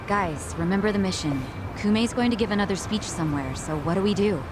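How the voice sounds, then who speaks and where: Game sidekick: focused, practical woman as female ally, mid register, clear ambient noise behind. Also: medium pitch, lively intonation, a little fast, lightly acted. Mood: urging. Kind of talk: video game voice acting.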